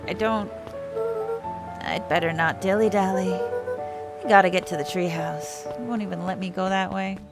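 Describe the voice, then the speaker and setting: A woman's voice: medium pitch, sing-song, normal speed, pauses often, loud music behind the voice. A woman streamer chatting casually and playfully while playing a game. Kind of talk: casual livestream chat.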